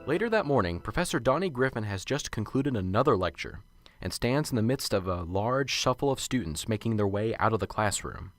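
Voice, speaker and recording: A man announcing and narrating a radio drama in a steady, formal manner. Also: lowish voice, a little fast, faint music behind.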